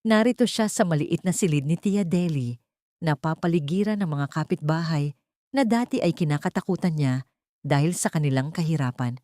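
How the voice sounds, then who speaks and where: A woman's voice: sits low, moderate pace, dark tone, no echo, studio quality. A woman with a Filipino accent narrating a dramatic audiobook story from a script.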